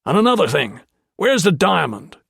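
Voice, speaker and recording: A man's voice: a little high, animated, a little fast, creaky, no echo, studio quality, voice pushed up. A male narrator voicing a blunt, shrewd officer who barks orders. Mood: gruff, commanding.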